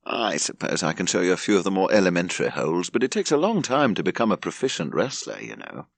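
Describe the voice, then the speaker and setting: A man's voice: sits low, expressive, quick pace, creaky, no echo, full character voice. Man playing a hesitant, self-important amateur instructor. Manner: hesitant, pedantic, mildly boastful.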